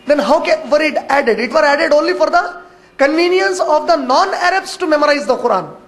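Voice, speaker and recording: A man, an Urdu speaker, gives a religious lecture as a Quran teacher. He speaks in a measured way but rushes through honorific phrases. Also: extremely high pitch, animated. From India.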